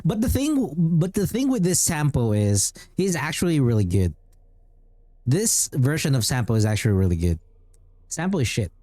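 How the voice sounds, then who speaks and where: Streamer, mellow mid-pitched voice, offhand gameplay chat, dry close sound. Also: very animated, moderate pace, dry recording. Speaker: game streamer. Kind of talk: casual live gameplay commentary.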